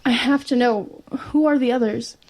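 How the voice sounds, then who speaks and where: A woman's voice: on the high side, moderate pace, a little mellow, lightly acted, poor audio. A woman playing an earnest, persistent and anxious teenage girl in an audio drama, probing and a little hesitant. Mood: pleading, worried. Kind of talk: audio drama acting and first-person narration.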